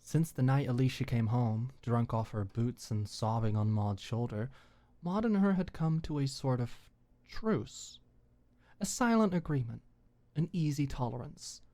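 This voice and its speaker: A woman's voice: rumbling low, lively intonation, unhurried, mellow. A woman reading scripted story narration in an ASMR audiobook style.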